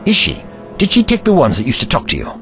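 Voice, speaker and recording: An actor playing an eccentric, kindly and curious investigator in an audio drama, pensive and courtly in manner. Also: male voice, fairly high pitch, wildly swooping pitch, quick pace, music in the background. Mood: pensive, concerned.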